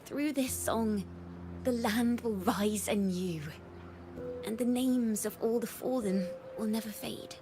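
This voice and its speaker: A woman's voice: fairly high pitch, slow, noisy background. A woman voicing a theatrical young bard in a video game, telling tales in a lilting, poetic, dramatic manner. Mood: wistful storytelling. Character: theatrical young female bard, lyrical and cheerful storyteller. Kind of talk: video game voice acting, storytelling. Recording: music behind the voice.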